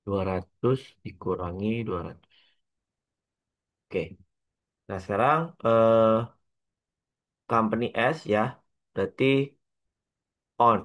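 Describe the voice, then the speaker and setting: A man with an Indonesian accent lecturing as a teacher, working through an example step by step in a halting, repetitive way with frequent checking tags. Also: lowish voice, a bit bright, low-quality recording. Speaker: accounting lecturer.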